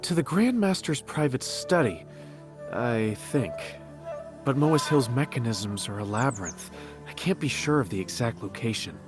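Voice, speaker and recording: An actor playing an anxious, helpful companion in a video game, tentative and earnest. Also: male voice, mid-range voice, animated, moderate pace, over music, natural voice. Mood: unsure. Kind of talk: dramatic video game acting.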